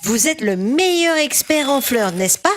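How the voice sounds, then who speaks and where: A woman's voice: high-pitched, lively intonation, measured pace, soft background music. A woman voicing a friendly, polite and eager young boy train in a French children's cartoon. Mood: polite, pleading.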